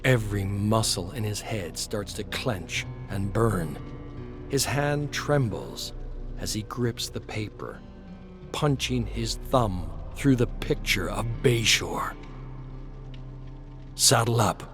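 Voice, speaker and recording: A man narrating a dramatic western story in a terse, brooding way with weighted pauses. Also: lowish voice, lively intonation, music in the background.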